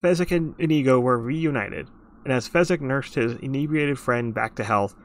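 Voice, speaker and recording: A man's voice: mid-range voice, normal speed, close to an everyday voice. A man playing a grandfather who reads a storybook tale aloud at a screenplay table read. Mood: calm storytelling. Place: home room, online video call.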